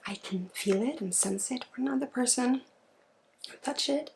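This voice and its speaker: A woman with a Swedish accent guides a spiritual energy healing in ASMR style, halting mid-sentence and often slipping into a breathy whisper. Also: fairly high pitch, darkish tone, slight background noise. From Sweden. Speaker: spiritual healer and ASMR host.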